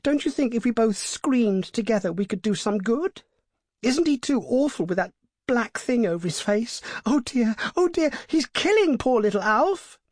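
Man voicing a young actress, very high-pitched, fluttery, silly, gasping and pleading, storybook character. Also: lively intonation, moderate pace, no echo, studio quality. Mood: panicked. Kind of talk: audiobook character voice.

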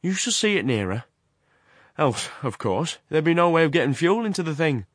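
A man acting a forceful, feverishly talkative ironworks man. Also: on the high side, big pitch swings, moderate pace, no echo, studio quality, voice pushed up. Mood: insistent, expansive. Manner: loquacious, emphatic, abrupt commands. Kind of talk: dramatized storybook narration.

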